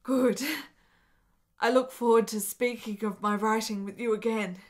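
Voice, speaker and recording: Actress as a high-voiced, melancholy, lovesick frail woman. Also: fairly even intonation, unhurried, close to an everyday voice. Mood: gentle, hopeful. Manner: earnest, melodramatic, formal literary phrasing. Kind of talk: radio drama acting.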